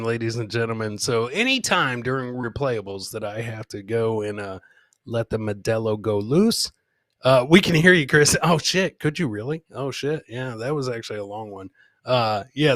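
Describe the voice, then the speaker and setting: A man's voice: mid-range voice, animated, normal speed, a bit bright, no room sound, clean studio sound. A man hosting a live comedy podcast, bantering casually and rambling, with swearing and showman-like addresses to his audience. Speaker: podcast host.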